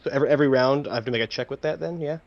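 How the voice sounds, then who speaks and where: A man's voice: mid-range voice, rapid-fire. A man playing a tabletop game, chatting casually and hesitantly as he thinks aloud and corrects himself. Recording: dull lo-fi audio with faint noise.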